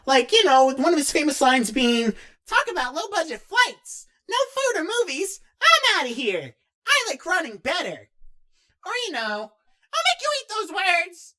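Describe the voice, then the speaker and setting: A woman voicing an excitable, awkward, geeky young male cartoon character who rambles and hesitates as he answers fan questions in character. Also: very high-pitched, normal speed, full character voice. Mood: eager. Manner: animated, rambling, hesitant, self-interrupting.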